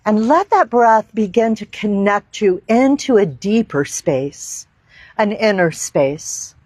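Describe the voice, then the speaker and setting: Woman yoga teacher, unhurried and reverent, dry close sound, guiding breath and meditation. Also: mid-range voice, expressive, darkish tone, no echo. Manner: slow, deliberate, reverent phrasing with long pauses. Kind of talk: guided meditation and yoga instruction. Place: outdoor park.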